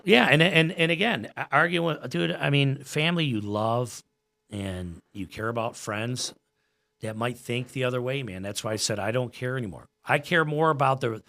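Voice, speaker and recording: A man's voice: medium pitch, moderate pace, vocal fry, bright, forceful tone. An American man in his forties chatting casually as a podcast guest, earnest and emphatic, often repeating his phrases. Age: 40s. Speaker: podcast guest, gym owner and coach.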